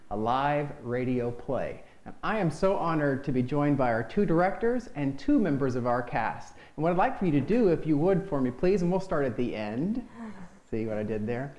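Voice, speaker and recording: A man hosting a chat show, speaking in a polite, measured way. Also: fairly high pitch, very animated, darkish tone. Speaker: interview host. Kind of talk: hosted studio chat. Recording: echoey room.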